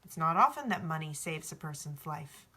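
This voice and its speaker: Woman reading as a hushed, wise male alchemist. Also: deep voice, very animated, heavily acted. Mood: stern, instructive. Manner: hushed, measured, gently instructive. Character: calm, wise, cheeky male alchemist mentor. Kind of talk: storybook read-aloud.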